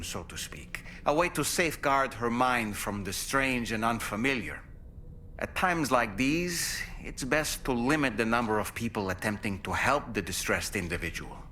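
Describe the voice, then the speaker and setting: An actor playing a polite, earnest little puppet companion in a video game, speaking formally. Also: male voice, fairly low pitch, pushed and bright, a little hiss or hum. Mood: reflective.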